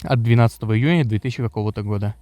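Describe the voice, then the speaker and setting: A man's voice: fairly low pitch, very fast, warm tone, no echo, soft background music. A man reading scripted narration for a game walkthrough video.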